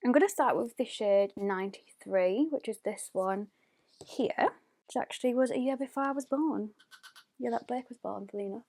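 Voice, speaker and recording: A woman, chatting through a makeup tutorial at home, often dropping to a hushed near-whisper. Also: a little high, normal speed, no room sound. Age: 20s. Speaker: beauty YouTuber. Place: home, indoors. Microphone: close mic.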